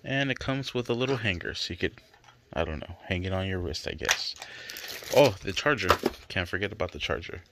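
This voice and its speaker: A man with a very low voice talks through a product in a casual, laid-back unboxing style. He sounds plain-spoken, and it is recorded handheld at home with clear background noise. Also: animated, a little fast. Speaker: product reviewer and dad. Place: bedroom, indoors.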